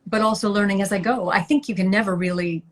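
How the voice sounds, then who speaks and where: A woman's voice: medium pitch, steady tone, fast. A middle-aged woman, a guest actress, chatting casually in an interview over a video call and laughing lightly between thoughts.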